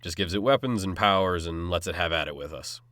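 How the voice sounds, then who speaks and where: A man's voice: sits low, a little fast, fairly bright tone, no echo, clean studio sound. A man voicing a seasoned, cocky swordsman who guides younger players in an audiobook. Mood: brisk, matter-of-fact. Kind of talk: audiobook character acting.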